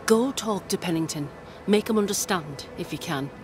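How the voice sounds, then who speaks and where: A woman's voice: on the low side, moderate pace, music in the background, close to an everyday voice. An actress playing a weary, defiant woman soldier with a terse, commanding manner in a video game. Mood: brisk. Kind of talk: dramatic video game acting.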